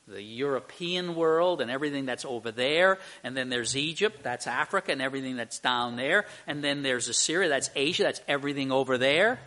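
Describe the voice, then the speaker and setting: A man's voice: mid-range voice, pushed and bright. A man preaching an expository sermon as a pastor, speaking earnestly and emphatically, with repeated phrases and rhetorical questions.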